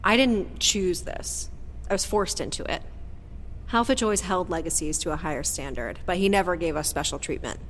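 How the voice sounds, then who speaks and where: An actress playing a defiant, guarded former agent weighed down by family legacy, earnest and bitter, in an audio drama. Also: female voice, lowish voice, normal speed, slight background noise, close to an everyday voice. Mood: bitter, burdened. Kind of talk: audio drama acting with first-person narration. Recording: long hall reverb, clear background ambience.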